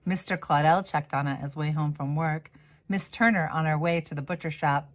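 Woman, middle-aged, low voice, animated storyteller, children's picture book read-aloud. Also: normal speed. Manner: animated, acts out the dialogue. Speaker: storyteller, reverend.

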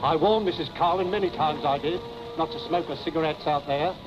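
Actor as talkative stable hand, highish voice, 1940s film acting, dull soundtrack. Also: male voice, a little fast, music in the background, natural voice. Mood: earnest, defensive. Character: talkative stable hand, earnest witness to a fire.

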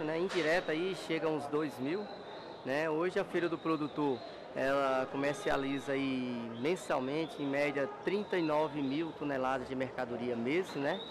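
Middle-aged man in a noisy market. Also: on the high side. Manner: steady, factual with tag questions. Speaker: market association representative. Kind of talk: interview answers. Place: large covered wholesale market. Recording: market noise behind.

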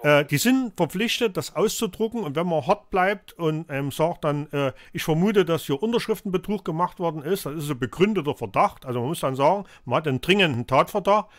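A man's voice: fairly high pitch, animated, fairly quick, hardly any pauses, no echo. A man in his fifties with a cold, hosting a live call-in show from a home studio and lecturing in a rambling way with many fillers. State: has a cold, coughing.

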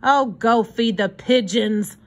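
A woman's voice: high voice, very animated, unhurried, pushed and bright, pitched above the performer's own voice, full character voice. Woman voicing an emphatic, grumbling old hobo man. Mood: indignant. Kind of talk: expressive children's storybook reading.

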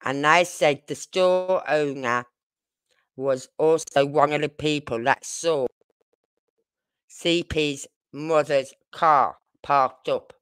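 Slow-talking British woman, clear voice. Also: low-pitched, no room sound. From United Kingdom. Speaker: livestream host. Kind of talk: casual true-crime livestream chat.

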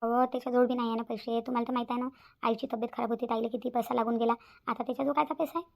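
A woman's voice: a little high, level tone, rapid-fire, gravelly, darkish tone. A woman voicing a worried, stubborn village mother in a Marathi cartoon, plaintive and repetitive, with a Vidarbha Marathi accent.

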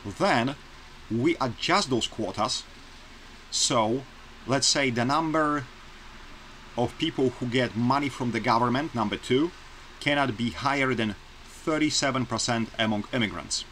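A man's voice: mid-range voice, measured pace, a bit bright, slight background noise, rough recording. A man in his forties from Poland with a Polish accent, talking earnestly and plainly to his webcam in a small bedroom, repeating his points for emphasis. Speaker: vlogger. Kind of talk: casual opinion vlog to camera. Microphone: webcam close-up.

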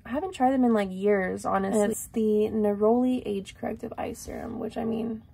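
A woman in her twenties chatting casually to camera in a small room, rambling with lots of fillers, her voice raspy and dry. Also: fairly high pitch, steady tone, fairly quick, dark tone. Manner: rambling, lots of likes and ums. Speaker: vlogger.

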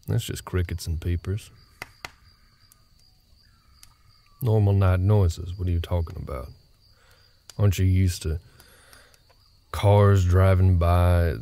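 A man playing a gruff, guarded cowboy ranch hand in an intimate roleplay audio drama, speaking tersely in rustic, folksy phrasing. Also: low voice, dark tone, heavily acted. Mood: calm, wry. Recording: nighttime cricket ambience behind voice. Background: crickets.

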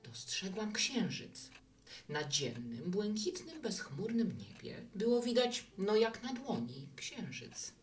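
A woman's voice: medium pitch, sing-song, slow pace, recorded from a distance, faint music behind. An actress playing a chatty, romantic, hospitable woman who runs a bar in an audio drama. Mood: wistful storytelling. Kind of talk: Polish audio drama acting.

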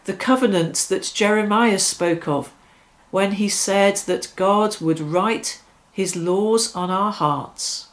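A middle-aged woman leading evening worship in a measured, reverent way, with deliberate phrasing, speaking indoors. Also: mid-range voice. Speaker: church worship leader. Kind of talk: devotional reflection and led prayer. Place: small furnished room indoors.

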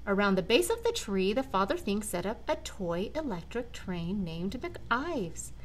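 An older woman, a grandmother, reading a children's storybook aloud with dramatic, deliberate phrasing. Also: mid-range voice, sing-song, a little hiss or hum. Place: large living room at home.

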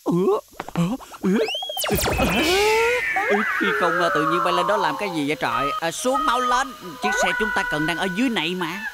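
A woman's voice: on the low side, lively intonation, fairly quick, pauses often, close to an everyday voice. A woman voicing a clever, bossy, exasperated skinny cartoon man, exclaiming and scolding in a Vietnamese dub. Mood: puzzled, scheming. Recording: loud music behind the voice.

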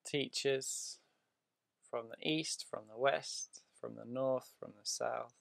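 A male meditation guide speaks very slowly and evenly, with long pauses between short phrases. His soothing voice has a gravelly fry to it and is recorded close and dry. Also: mid-range voice, dry recording. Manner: soothing, deliberate, with drawn-out pauses.

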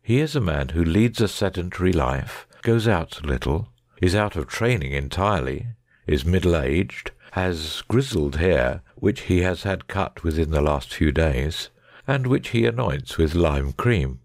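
Gentleman detective for an audiobook , acted by a man: very low, animated, assured and precise, lecturing his friend. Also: a little slow, no echo, clean studio sound. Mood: confident. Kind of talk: dramatic audiobook reading.

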